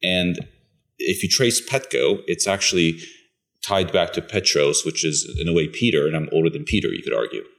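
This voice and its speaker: A man's voice: deep voice, moderate pace, vocal fry. A middle-aged man from Bulgaria, a podcast co-host, chatting casually and telling his background with frequent restarts. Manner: conversational storyteller with restarts.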